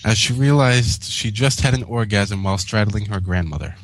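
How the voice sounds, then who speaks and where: A man's voice: fairly low pitch, moderate pace, rough recording. A man reading comic fan fiction aloud as co-host of a read-along. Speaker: co-host and story reader.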